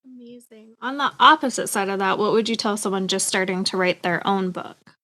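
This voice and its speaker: Woman interviewer with a medium-pitched voice. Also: steady tone, fairly quick, sharp tone. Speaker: interviewer, host. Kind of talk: interview questions.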